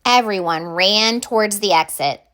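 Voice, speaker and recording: A woman reading a children's picture book aloud in deliberate, expressive narration. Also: mid-range voice. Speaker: storybook reader.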